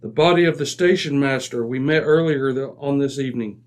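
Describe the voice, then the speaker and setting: Guy doing a british gentleman detective, old radio drama, crisp wording, very sure of himself. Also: mid-range voice, close to an everyday voice. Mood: brisk, intent. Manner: brisk, precise, self-assured. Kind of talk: old-time radio mystery acting.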